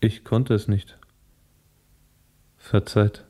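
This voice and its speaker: Tormented young idealist rebel, radio drama, very dark muffled tone, husky, pleading earnest. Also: male voice, lowish voice, steady tone, drawn-out words. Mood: ashamed. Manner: pained, earnest. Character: sensitive, anguished young male idealist revolutionary poet. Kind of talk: radio play acting.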